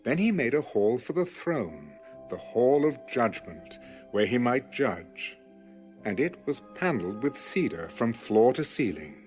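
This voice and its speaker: A man narrating scripture from a script in a measured, solemn manner. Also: mid-range voice, big pitch swings, darkish tone, faint music behind. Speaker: Bible narrator.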